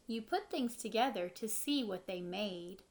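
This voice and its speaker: A woman in her thirties reads a children's storybook aloud in a medium-pitched voice. She sounds like a teacher, reading expressively and pausing to explain things simply for young listeners. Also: lively intonation, slight background noise. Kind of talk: children's read-aloud with short explanatory asides. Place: living room at home, indoors.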